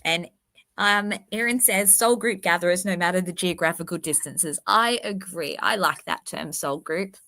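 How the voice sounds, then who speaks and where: A woman hosting a true crime livestream in a casual, chatty way. Her voice is croaky from a virus, and she sounds enthusiastic and self-deprecating. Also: lowish voice, normal speed, pushed and bright, no room sound. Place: at her workplace, indoors.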